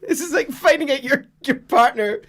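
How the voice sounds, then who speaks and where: A man's voice: very high-pitched, normal speed, fairly bright tone, a little hiss or hum. A man co-hosting a podcast with casual, teasing, jokey banter. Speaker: podcast co-host.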